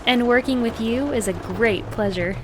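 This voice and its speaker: A woman's voice: fairly high pitch, normal speed, noisy background, lightly acted. A woman voicing a confident, helpful female android agent in a comic dub, earnest and composed. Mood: determined.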